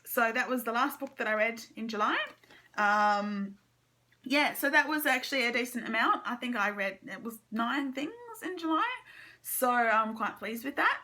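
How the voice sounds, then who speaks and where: A woman's voice: on the high side, normal speed, pushed and bright. A woman giving a casual, chatty book review as a vlogger, with an enthusiastic, informal manner. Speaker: book vlogger giving a monthly reading wrap-up.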